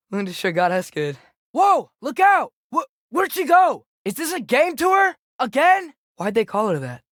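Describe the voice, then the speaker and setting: A man's voice: sits high, a bit bright, no room sound, clean studio sound. A young man voicing an earnest, curious teenage boy adventurer in a video game, with natural, inquisitive phrasing. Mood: alarmed, then earnest, concerned. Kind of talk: video game voice acting, companion dialogue.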